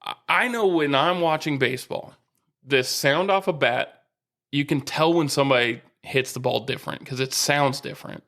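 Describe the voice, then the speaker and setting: A man co-hosting a podcast in casual sports chat, steady and explanatory, slowing down to stress a point. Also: fairly high pitch. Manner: steady, explains and asks questions, slows for emphasis. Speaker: podcast co-host.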